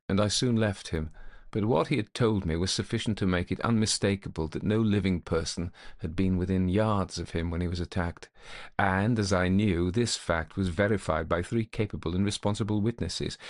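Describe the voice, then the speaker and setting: A man playing a gentlemanly, methodical investigator of hauntings, recounting his case in a formal, old-fashioned storytelling manner. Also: lowish voice. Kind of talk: dramatic first-person storytelling, old-fashioned ghost story.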